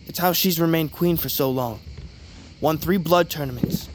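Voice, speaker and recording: An actor playing a young tribesman who teases his sister playfully but speaks to her gently. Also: a little high, normal speed, natural voice. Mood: reassuring. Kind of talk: audio drama acting. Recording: noisy ambience bed.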